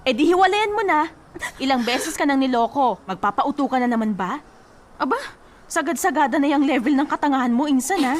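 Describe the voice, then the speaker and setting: Bossy, high-voiced blunt cousin. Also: female voice, animated, a little fast, slight background noise. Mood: scolding. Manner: teasing, scolding, sharp-tongued. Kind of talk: radio drama acting.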